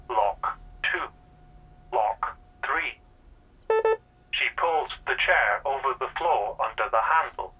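A man's voice: mid-range voice, pushed and bright, husky, full character voice, rough recording. A man playing an anxious, watchful machine observer, narrating an audio drama in clipped, rhythmic phrases. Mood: tense, urgent.

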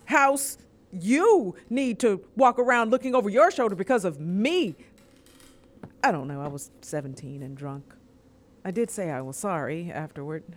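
A woman's voice: mid-range voice, expressive, normal speed, close to an everyday voice. A woman playing a defensive, sardonic young working woman in a naturalistic audio drama, speaking in a casual, rambling way. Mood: nervous, sardonic.

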